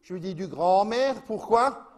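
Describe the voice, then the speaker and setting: A gossipy Alsatian housewife. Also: male voice, high-pitched, lively intonation, measured pace. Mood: gossiping. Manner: gossipy, breathless confiding chatter. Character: chatty middle-aged Alsatian housewife gossiping on phone. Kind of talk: comic phone monologue.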